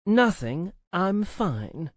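Audiobook role: woman as stubborn young female lead, curt, defiant, very animated pitch. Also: very slow, no echo, clean studio sound, full character voice.

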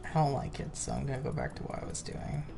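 A woman's voice: very deep voice, fast talker, creaky, noisy background. A woman streamer chatting casually while playing a co-op video game. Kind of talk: casual co-op gameplay chat. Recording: loud game music behind. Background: video game music.

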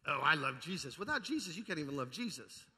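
Male pastor, forceful bright delivery, fervent evangelical preaching with emphatic repetition, amplified in a long-reverb church hall. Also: on the high side, very animated, fast talker, breathy edge. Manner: puts rhetorical questions to the congregation. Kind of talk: charismatic evangelical sermon. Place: large indoor church hall.

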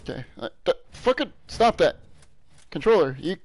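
A man's voice: high-pitched, very animated, moderate pace, low-quality recording. A young man playing a video game on stream, chatting crudely and joking with viewers, laughing often. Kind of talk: casual gameplay commentary.

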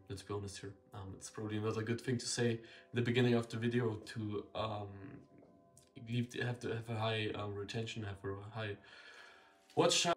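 A young man talks straight to camera in a small room, his thoughts spilling out loosely, with many "um"s and restarts. He is blunt and candid, even swearing casually. Faint music sits quietly underneath. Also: fairly low pitch. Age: young adult. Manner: rambling, filler-heavy, frequent self-corrections. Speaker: marketing consultant. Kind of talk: casual talking-head video. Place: small indoor room with a window.

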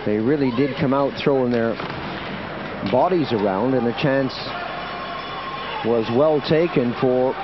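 A man's voice: mid-range voice, a little slow, pauses often. Canadian-raised man calling ice hockey. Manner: steady, conversational play-by-play. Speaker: play-by-play commentator. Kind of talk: sports commentary. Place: commentary booth at an ice hockey arena. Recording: dull broadcast audio with background noise.

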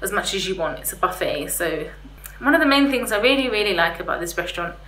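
A woman's voice: mid-range voice, animated, fast, pushed and bright. A young woman vlogger chatting casually to camera in an informal, slightly hesitant way, giving a review. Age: young adult. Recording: roomy echo, faint background hiss.

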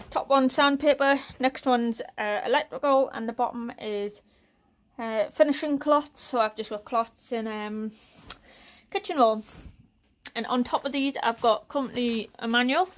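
A woman's voice: fairly high pitch, slight background noise. A woman from the UK giving a casual tour of her small shed workshop, listing tools with lots of fillers while filming on a handheld phone. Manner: relaxed, listing items with frequent fillers. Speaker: woodworking vlogger.

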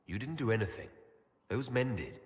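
An actor playing a calm, earnest male elf leader in video game dialogue, measured and dryly understated. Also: mid-range voice, long reverb tail, close to an everyday voice. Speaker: player character leader.

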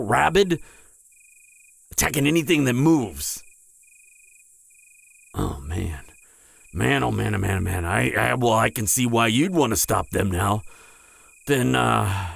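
Man acting a husky, flustered wounded hunter. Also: lowish voice, lively intonation, full character voice. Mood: grudging, wary. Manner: stammering, halting, breathy sighs and groans. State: in pain, strained. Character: wounded, proud, flustered young male vampire hunter. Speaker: audio roleplay voice actor. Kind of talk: intimate audio roleplay acting.

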